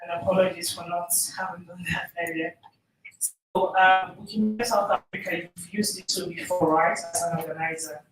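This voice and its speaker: A woman's voice: lowish voice, fairly even intonation, moderate pace, noisy background. Woman workshop presenter, informal and questioning; distant mic, heavy room echo. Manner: informal, engages audience with questions. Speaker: presenter, program officer. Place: conference room.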